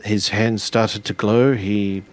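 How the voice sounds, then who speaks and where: A man playing an earnest, formal samurai in a tabletop role-playing game, speaking in measured, halting phrases. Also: fairly low pitch, flat delivery, voice pushed down. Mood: earnest, wondering.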